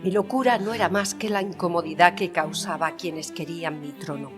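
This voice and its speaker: Audio-fiction queen, played by a woman: proud, bitter, emphatic, lower register, big reverb with strings behind. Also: brisk, lightly acted. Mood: solemn, defiant. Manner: emphatic, haughty, theatrical. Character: proud, bitter, passionate Spanish queen, defiant and indignant. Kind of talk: dramatised historical interview, audio fiction. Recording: long reverberant hall sound. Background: violin music.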